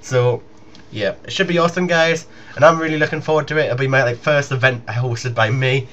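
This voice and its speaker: A man's voice: mid-range voice, steady tone, brisk, a bit bright. A young man making a casual, rambling announcement to his viewers as a gaming YouTuber. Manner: informal, rambling. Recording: muffled lo-fi home recording with noise.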